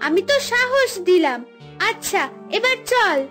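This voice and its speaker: A woman's voice: very high-pitched, lively intonation, measured pace, fairly bright tone, faint music behind, heavily acted. A woman voicing young girls on a forest adventure in a cartoon fairy tale, bickering and dramatic. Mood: teasing, defiant.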